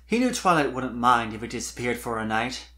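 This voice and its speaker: Man, medium pitch, scripted fan fiction narration, expressive, wistful storybook delivery. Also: brisk.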